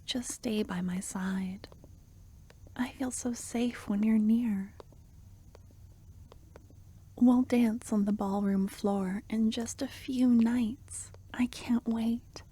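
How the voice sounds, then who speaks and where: Casting: actress as devoted young court necromancer, fantasy audio roleplay, soft breathy mellow delivery, tender and unhurried. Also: female voice, fairly high pitch, steady tone. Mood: pleading, tender, then warm, excited. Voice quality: soft, breathy, half-whispered. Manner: tender, intimate, endearing. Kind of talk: softly spoken ASMR fantasy roleplay.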